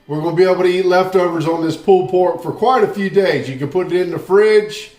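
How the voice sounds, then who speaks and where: A man's voice: high-pitched, fairly quick, darkish tone, echoey room. A man with a Southern American accent hosting a casual home barbecue tutorial, easygoing and folksy as he talks straight to viewers. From Southern United States. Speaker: barbecue channel host. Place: home kitchen, then outdoor grill area.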